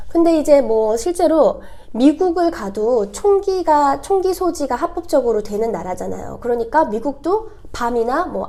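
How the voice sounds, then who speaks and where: A woman from South Korea chatting casually to viewers as a vlogger, her voice hoarse and congested from a cold, explaining things in a talkative way. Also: on the high side, warm tone. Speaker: vlogger hosting own channel.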